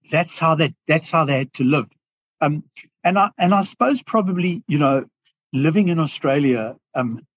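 A South African man speaking earnestly as a podcast guest, pausing and restarting as he thinks aloud. His voice is husky and sits in a middle register. The sound is dry and a little dull. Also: a little high, no echo. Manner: earnest, reflective, halting with restarts. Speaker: guest business owner. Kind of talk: podcast interview.

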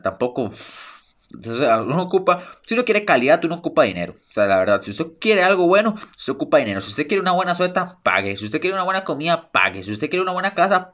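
A man's voice: medium pitch, a bit bright. Eager young man. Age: young adult. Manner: eager and emphatic, repeats phrases for stress. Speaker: podcast host. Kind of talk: solo sports podcast monologue.

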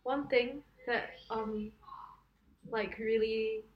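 A young woman chatting casually as a podcast co-host, reacting quickly and filling her talk with fillers. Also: fairly high pitch, fairly even intonation, highs cut off. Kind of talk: casual chat.

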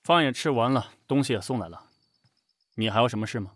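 A man's voice: medium pitch, fast, no room sound, natural voice. A man in an audio drama playing a cold, jealous and possessive company boss with a curt, sardonic way of talking. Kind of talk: amateur Chinese audio drama acting.

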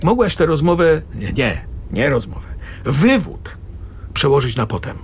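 A man's voice: mid-range voice, sing-song, a little slow, a little hiss or hum. An actor playing a sarcastic, exasperated middle-aged father arguing with his wife in a radio drama. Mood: dry, reproachful. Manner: sarcastic, argumentative.

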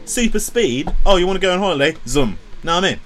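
Playful man with lively pitch. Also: fast talker, faint music behind. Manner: playful, jokey, laughs often. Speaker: podcast host. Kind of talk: casual chat.

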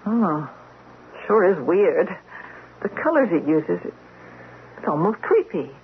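A woman's voice: lowish voice, very animated, slow, full character voice. An actress playing an earnest, troubled woman doctor in a radio drama, speaking in a hesitant, conversational way. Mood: uneasy, curious. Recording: dull, noisy old radio recording.

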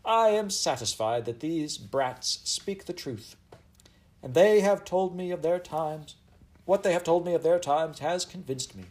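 A man's voice: fairly low pitch, a little mellow. A man voicing a haughty, vain middle-aged Elizabethan queen with grand, archaic, commanding speech in a storybook reading.

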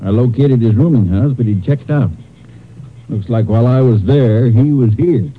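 A matter-of-fact sheriff played by a man in a vintage radio crime drama. He talks plainly and folksily at a medium pitch. The recording is narrow and muffled. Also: normal speed, slight background noise, close to an everyday voice. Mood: businesslike. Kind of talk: old-time radio drama acting.

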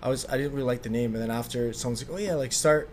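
A young man, talking as a guest in a casual interview in a relaxed, easygoing way with frequent "like"s. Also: mid-range voice, very fast, slight background noise. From Slovakia. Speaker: guest, clothing designer.

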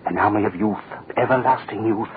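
An actor playing a cold, power-hungry state official in theatrical, menacing, gloating 1940s radio drama style. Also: male voice, lowish voice, brisk, lightly acted. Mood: grandiose, gloating. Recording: tinny, hissy old radio recording.

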